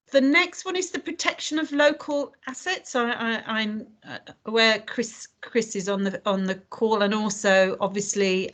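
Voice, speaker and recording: A woman's voice: medium pitch, fairly bright tone. A woman leading an online community meeting over a video call, talking with many fillers and restarts. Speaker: meeting facilitator.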